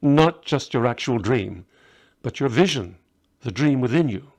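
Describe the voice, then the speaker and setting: A man's voice: mid-range voice, expressive, measured pace, darkish tone. A man voicing a devoted, fatalistic aging magus in a story, with solemn, declamatory pronouncements. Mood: earnest.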